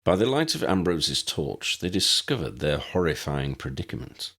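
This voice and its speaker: A man reading scripted story narration in an expressive storytelling manner. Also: a bass voice, normal speed, breathy edge. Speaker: audiobook narrator.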